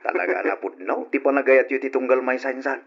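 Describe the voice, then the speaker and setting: A man's voice: mid-range voice, quick pace, natural voice. An actor playing a jovial, teasing male relative in an old Ilocano radio drama, drawling his words. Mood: teasing, glad. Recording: muffled lo-fi old radio recording with music.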